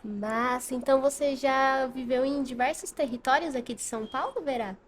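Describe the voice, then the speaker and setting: A woman from Brazil hosting a podcast interview, asking her guest friendly, measured questions. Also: high voice, a little hiss or hum. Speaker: podcast host.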